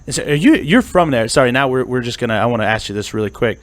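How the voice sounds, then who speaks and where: A man's voice: medium pitch, expressive, fast, dry recording. A man from central Pennsylvania hosting a podcast, upbeat and friendly, chatting casually with lots of fillers. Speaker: podcast host. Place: studio.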